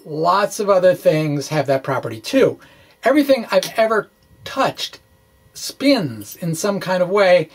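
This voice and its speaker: A man giving an informal explanatory lecture in a chatty, folksy way, with wry asides and a halting delivery. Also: high-pitched. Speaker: physics blogger presenting a lesson. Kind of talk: informal explanatory lecture, dramatic blog reading.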